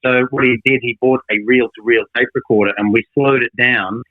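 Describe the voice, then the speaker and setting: A man's voice: mid-range voice, steady tone, brisk, no room sound. A man, a guitarist guest, speaking hesitantly in a casual interview over a phone line. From Australia. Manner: hesitant, repeats his words.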